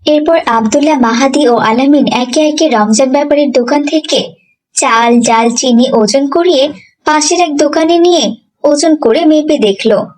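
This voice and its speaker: A woman's voice: high voice, normal speed, a little mellow, a little hiss or hum. A woman reading scripted narration for a children's cartoon story.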